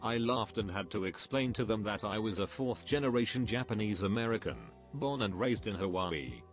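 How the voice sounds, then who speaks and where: A man reading anecdotes aloud as a scripted narrator, at a steady, even pace. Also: lowish voice, normal speed. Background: faint background music.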